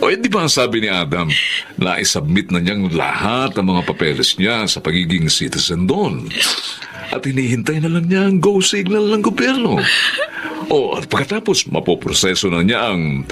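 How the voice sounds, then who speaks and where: A man's voice: lowish voice, wildly swooping pitch, moderate pace, fairly bright tone, faint music behind. A man playing a determined, ambitious middle-aged Filipino father in a radio drama, emphatic and persuasive, with a Filipino accent. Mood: reassuring.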